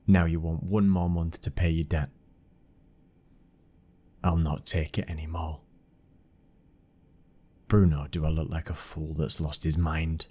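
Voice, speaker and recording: A British man playing a brooding, dominant young mafia boss's son in an intimate ASMR roleplay, speaking with slow, commanding control. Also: very deep voice, husky, heavily acted. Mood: cold, threatening.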